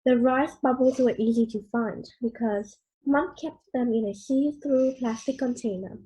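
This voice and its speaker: A female reader telling a children's story aloud in an expressive read-aloud style. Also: a little high, poor audio.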